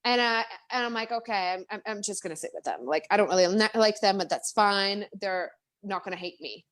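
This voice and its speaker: A woman with a Czech accent, a guest speaker in a live-streamed interview, talking in a chatty, animated way with many restarts and fillers. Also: medium pitch, fairly quick. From Czech Republic.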